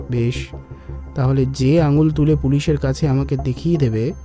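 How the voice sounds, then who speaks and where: Guy doing a brainy professor in a crime audio drama, mellow voice, explains things deliberately, light music under it. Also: medium pitch, natural voice. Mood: grave, imagining. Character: male forensic professor, analytical, imaginative, deliberate explainer. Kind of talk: dramatised detective audio story acting.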